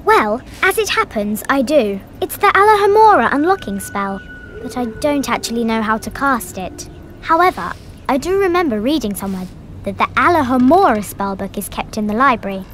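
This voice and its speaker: A woman playing a bossy, bookish young schoolgirl in a video game, with a British accent and a brisk, precise, know-it-all manner. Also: a little high, big pitch swings, a bit bright, a little hiss or hum. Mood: explaining.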